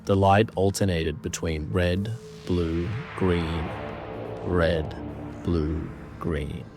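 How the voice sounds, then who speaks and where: A man narrating a scripted horror story in a measured, dramatic manner. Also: low-pitched, little pitch movement, music in the background.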